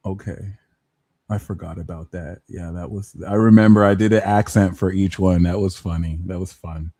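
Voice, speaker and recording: A man's voice: low-pitched, steady tone, moderate pace, dark tone, no room sound. A man hosting a live pick-a-card tarot reading, talking in a playful, slangy way with jokes in between. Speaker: tarot reader and host.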